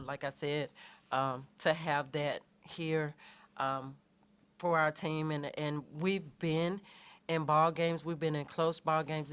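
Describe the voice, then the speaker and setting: Soft-voiced woman coach, low pitch. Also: clean tone. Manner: halting, many fillers and restarts. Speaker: head basketball coach. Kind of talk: postgame press conference answers.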